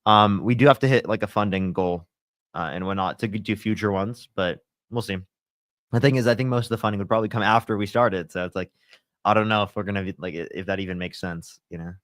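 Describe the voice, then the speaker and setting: A man's voice: on the low side, steady tone, fast, a bit bright, dry recording, clean studio sound. A young man hosting a casual livestream, answering chat in a laid-back way full of fillers, a little congested with a sore throat. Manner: laid-back, filler-heavy, lots of "like" and "you know".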